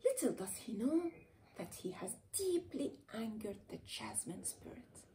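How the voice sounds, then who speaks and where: A woman reading a children's storybook aloud in an expressive, dramatic way with playful emphasis. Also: fairly high pitch, unhurried, slight background noise. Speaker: children's author reading her own book aloud. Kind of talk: animated storybook read-aloud.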